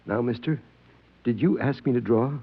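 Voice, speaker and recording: A man's voice: medium pitch, normal speed, slightly rough. An actor playing a stern, suspicious starship captain in a 1950s radio drama, curt and commanding as he interrogates a subordinate. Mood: stern, probing. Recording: dull vintage radio recording.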